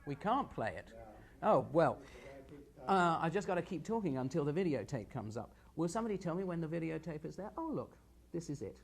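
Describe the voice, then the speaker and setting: A man hosting a live television show, giving energetic, emphatic and conversational analysis of the media. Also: on the high side, a little fast, gravelly. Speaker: television host and news critic.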